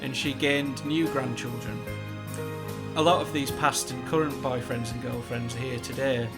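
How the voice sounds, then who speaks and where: A man's voice: medium pitch, steady tone, normal speed, gravelly. Man narrating over prominent guitar music. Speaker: narrator reading a eulogy. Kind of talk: scripted eulogy narration.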